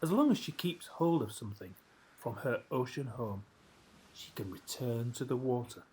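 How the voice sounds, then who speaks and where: A man narrating a children's story as a storyteller, with measured, expressive phrasing and deliberate pauses. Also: medium pitch, a little hiss or hum, poor audio.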